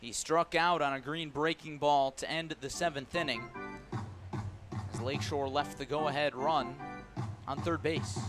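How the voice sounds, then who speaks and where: A man calling baseball play-by-play live on the radio from a ballpark, punching up the big moments. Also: on the high side. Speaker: play-by-play announcer. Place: outdoor ballpark broadcast.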